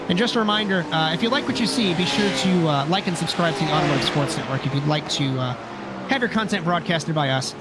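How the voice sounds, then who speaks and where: Raspy-voiced man calling a sim race. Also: fairly high pitch. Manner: relaxed, chatty, corrects himself often. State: recovering from a sinus infection. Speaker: lead commentator. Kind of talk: sim racing play-by-play commentary.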